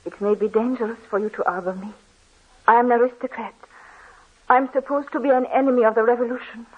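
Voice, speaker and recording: A woman's voice: fairly high pitch, fairly even intonation, quick pace, breathy edge. An actress playing a frail, grieving young French aristocrat woman in an old-time radio drama. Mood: anxious. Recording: dull lo-fi old radio recording.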